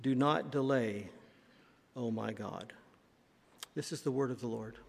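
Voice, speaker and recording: A male pastor reading scripture and praying, solemn and very slow-paced. His middle-pitched voice trails a long reverb through the church hall. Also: creaky, a little hiss or hum. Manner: solemn, measured.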